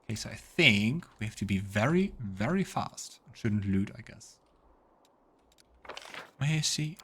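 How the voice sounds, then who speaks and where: A man's voice: on the low side, expressive, a little slow, fairly bright tone, slight background noise. A man hosting a let's play, mixing casual game commentary with narration read aloud. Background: game music.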